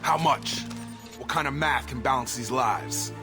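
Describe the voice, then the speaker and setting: A man's voice: medium pitch, a little slow, lightly acted. A young man playing a principled, bitter soldier son in a video game, blunt and accusing. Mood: outraged. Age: young adult. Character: bitter, principled soldier son confronting his disgraced father. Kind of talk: dramatic video game voice acting. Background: cinematic background music.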